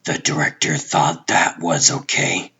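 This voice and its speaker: A man voicing a demanding, irritable television producer in a comic book dub, curt and deadpan. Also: very deep voice, measured pace, gravelly, husky, dull audio, exaggerated voice. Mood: displeased.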